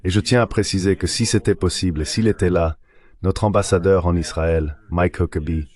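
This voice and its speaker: A man's voice: deep voice, fairly even intonation, a little fast, darkish tone. A man reading a translated voice-over of a political discussion.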